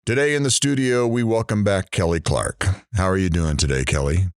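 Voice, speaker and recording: A man's voice: deep voice, brisk, dry recording, close to an everyday voice. A man playing a self-deprecating, dry-witted morning radio host presenting mock news in an audio drama. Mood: breezy, mock-serious.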